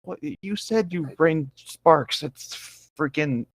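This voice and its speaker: A man's voice: on the high side, slow pace, creaky, dry recording. A man co-hosting a casual read-aloud show, moving between narrating fan fiction and riffing on it.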